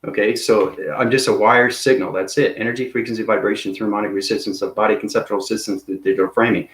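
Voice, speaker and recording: Guy rambling on about cosmic stuff, low voice, repeats himself a lot. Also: steady tone, quick pace, a bit bright. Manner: rambling, repetitive, laughs at himself. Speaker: video host. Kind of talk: rambling cosmic monologue to viewers.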